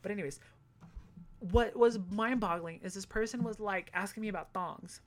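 Young guy w a high voice venting, sassy, swears a lot, super animated. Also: moderate pace. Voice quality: high-pitched voice. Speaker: vlogger. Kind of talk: casual storytelling monologue.

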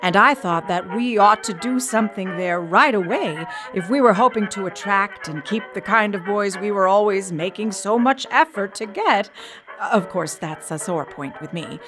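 A woman's voice: mid-range voice, moderate pace, close to an everyday voice. Outspoken early-1900s woman trustee, played by an actress, indignant and articulate, dramatic letter reading with prominent music behind. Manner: emphatic, articulate, tart.

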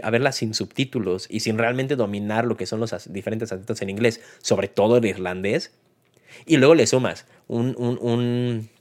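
A man with a Mexican accent gives a casual, unscripted film review in a conversational, colloquial way, like a host talking to listeners. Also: mid-range voice. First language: Spanish. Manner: conversational, colloquial, retells scenes with acted-out quotes.

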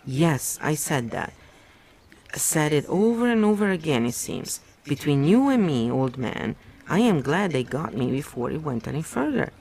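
A man's voice: a little high, very animated, normal speed, vocal fry, a little mellow, slightly rough, exaggerated voice. Casting: man voicing a scared, servile, pudgy middle-aged prisoner, pleading and blubbering. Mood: eager.

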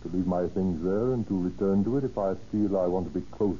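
Man as a brooding low-voiced widower, old radio. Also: a little fast, warm tone, natural voice. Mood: courteous, businesslike. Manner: courteous, melancholy, hesitant. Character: brooding, polite wealthy widower haunted by loss. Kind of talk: 1930s radio acting. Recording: muffled, noisy old radio recording.

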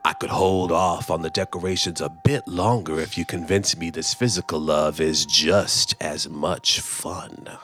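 A handsome living heap of Christmas decorations, voiced by a man, speaking low and slowly. He is earnest, puzzled and curious, with a seductive edge, in a comic audiobook with music behind. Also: steady tone, a bit bright.

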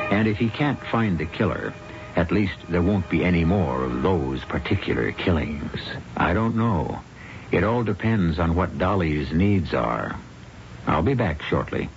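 A man narrating as host of an old-time radio mystery, theatrical and wry, with ominous, deliberate phrasing. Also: sits low. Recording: muffled old radio recording, loud music.

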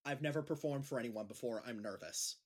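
A man's voice: medium pitch, fairly quick, natural voice. An actor playing a nervous, earnest male gym teacher who speaks awkwardly and hesitantly in an audio drama. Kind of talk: naturalistic audio drama dialogue.

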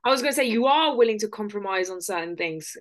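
A woman's voice: medium pitch, expressive, fast. A woman co-hosting a podcast, speaking in casual, unscripted chat. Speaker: podcast co-host.

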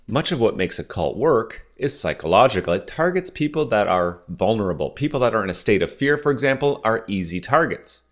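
A man in his forties with a somewhat low voice carries a solo podcast monologue. He grew up in Southern Ontario speaking French first. His delivery is measured and persuasive, often turning his points into questions. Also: big pitch swings. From Southern Ontario, Canada. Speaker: podcast host.

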